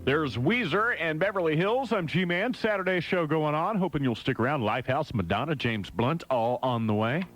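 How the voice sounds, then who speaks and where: A man's voice: a little high, expressive, normal speed, hardly any pauses. A man hosting a music radio show, delivering upbeat, joking DJ patter into a close studio mic. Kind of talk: hot adult contemporary radio DJ patter over music. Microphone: close broadcast studio mic. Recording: loud music bed behind the voice.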